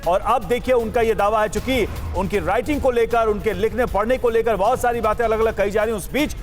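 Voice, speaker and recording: A woman's voice: on the high side, fairly quick, faint music behind. A woman presenting TV news as an anchor in a scripted style.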